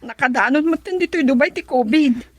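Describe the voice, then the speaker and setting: A woman's voice: sits high, a little fast, a little mellow, dry recording, close to an everyday voice. A woman playing a concerned, frank and bossy elder sister in a radio drama.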